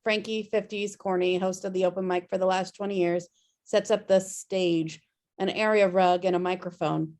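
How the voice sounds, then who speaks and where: A woman's voice: on the low side, fairly even intonation, normal speed, a little mellow. A woman reading scripted stage directions as narrator of a table read over a video call.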